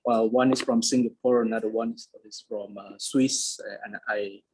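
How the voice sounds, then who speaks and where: A young man from Medan whose first language is Hokkien, answering interview questions in a halting way with many pauses. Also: lowish voice, fairly even intonation, darkish tone, a little hiss or hum, poor audio. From Medan, Indonesia. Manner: hesitant, many "uh" fillers. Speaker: interviewee, polyglot.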